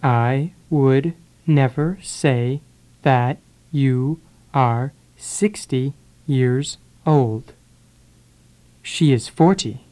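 A speaker drilling English phrases for learners, saying each sentence and then repeating it one word at a time with pauses. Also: medium pitch, mellow. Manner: deliberate, pausing after each word. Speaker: English teacher.

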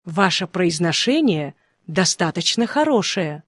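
A speaker reading short phrasebook sentences slowly and clearly for language learners. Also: medium pitch, big pitch swings, a bit bright, dry recording, studio quality. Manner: slow, clearly spaced repetitions. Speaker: phrasebook reader.

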